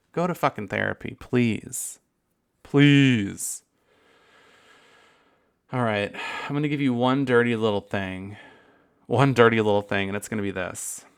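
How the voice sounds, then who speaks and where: Gravelly, smooth-voiced man hosting a podcast. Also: mid-range voice. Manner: candid, profane and campy, laughing asides. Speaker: podcast host. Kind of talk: casual solo podcast monologue.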